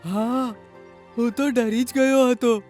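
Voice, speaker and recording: A woman voicing a timid, hungry, naive little boy in a children's cartoon story, speaking loudly. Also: on the high side, steady tone, slow pace, faint music behind. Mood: sheepish.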